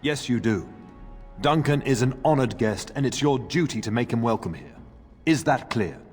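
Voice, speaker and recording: A man playing a middle-aged nobleman in a fantasy game, a firm and affectionate father who speaks with measured authority. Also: mid-range voice, lively intonation, close to an everyday voice. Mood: firm, fatherly. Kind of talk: fantasy video game acting. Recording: reverberant stone hall, music underneath.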